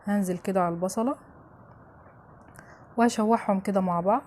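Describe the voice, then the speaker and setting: A woman's voice: mid-range voice, a little fast, darkish tone. A woman with an Egyptian accent hosting a home cooking show, chatting familiarly to viewers as she narrates a recipe step by step.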